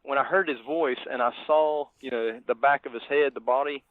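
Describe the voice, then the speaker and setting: A man co-hosting a podcast, talking casually about movies in a halting, reflective way. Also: medium pitch, fairly even intonation. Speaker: podcast co-host. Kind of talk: casual movie review chat. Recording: thin phone-like line, dry.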